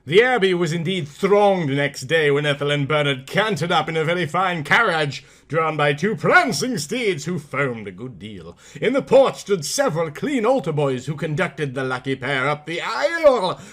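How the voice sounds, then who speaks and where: A man narrating a storybook theatrically, with emphatic, drawn-out words and big swells and drops. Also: fairly high pitch, big pitch swings, fairly bright tone. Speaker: reader of a storybook. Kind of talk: theatrical comic audiobook narration.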